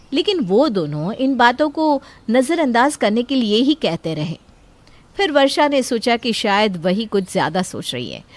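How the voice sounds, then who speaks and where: A woman narrating a scripted moral family story as a storyteller. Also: mid-range voice.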